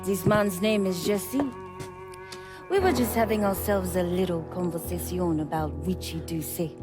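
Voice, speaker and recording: Woman playing a low-voiced, tough female accomplice. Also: normal speed, lightly acted. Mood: coolly threatening. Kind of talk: cinematic video game acting. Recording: music behind the voice.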